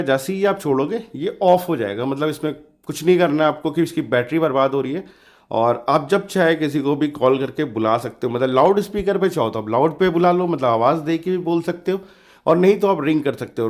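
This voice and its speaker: A man with a slightly hoarse voice narrates a DIY electronics tutorial casually, explaining step by step in an informal, reassuring way. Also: a little high, a little mellow. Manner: informal, explanatory, often adds reassuring check-ins. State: slightly hoarse from a sore throat.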